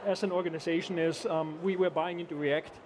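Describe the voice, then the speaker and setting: A man's voice: on the high side, fairly even intonation, fairly quick, noisy background. A man from Austria, a guest engineer chatting in an interview, restarting and hesitating often. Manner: hesitant, many restarts and fillers. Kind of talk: casual conversational interview. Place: conference event.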